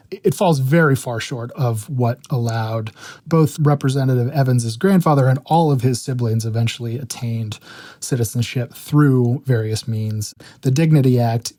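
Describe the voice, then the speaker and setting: An American man, a reporter explaining things as an interview guest, measured and careful in how he phrases them. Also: medium pitch, mellow, dry recording. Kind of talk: explanatory radio interview talk.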